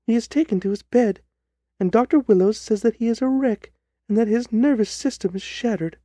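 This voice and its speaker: Male reader as young gentlewoman, raised pitch, very mellow, anxious, impulsive, earnest, storybook drama. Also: a little fast, no echo, clean studio sound. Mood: distressed, sorrowful. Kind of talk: audiobook character reading.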